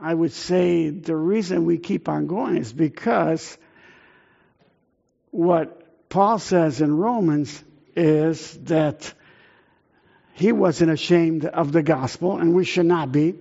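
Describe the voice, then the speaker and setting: Slow gravelly man raised in Italy. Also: on the high side, mellow, highs cut off. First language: Italian. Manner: earnest, halting with many fillers. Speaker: missionary speaker. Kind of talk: missionary talk to a church.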